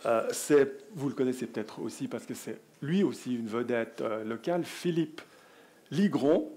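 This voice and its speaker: A man's voice: mid-range voice, normal speed, warm tone. A man with a Swiss French accent moderating a panel, introducing guests jovially with many hesitations. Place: conference hall.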